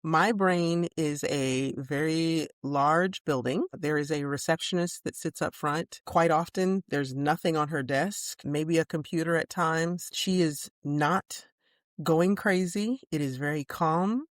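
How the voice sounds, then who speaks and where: A woman co-hosting a casual podcast, speaking in a measured, calm way with sudden bursts of big laughter. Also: deep voice, no echo, clean studio sound. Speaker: podcast co-host. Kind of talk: casual chat.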